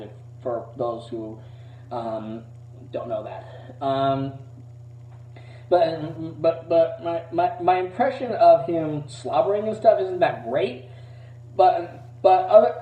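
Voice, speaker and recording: A man with a fairly high voice talks casually through a voice demo. He is halting and modest about himself, rambling as he goes. The sound is roomy and muffled, picked up from a distance. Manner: hesitant, halting, self-deprecating. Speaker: amateur voice impressionist. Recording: roomy, distant, dull low-fidelity mic.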